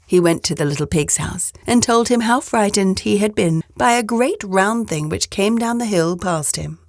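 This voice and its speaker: A woman narrating a children's storybook aloud in an expressive storyteller's style. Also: mid-range voice, normal speed, dry recording, clean studio sound.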